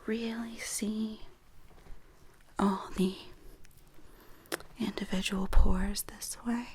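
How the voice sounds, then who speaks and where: Softly whispering woman, slow ASMR roleplay. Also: medium pitch, flat delivery, a little hiss or hum. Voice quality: soft, breathy, mostly whispered. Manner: gentle, reassuring, explaining step by step. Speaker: ASMR performer playing a dermatologist. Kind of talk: soft-spoken ASMR medical roleplay.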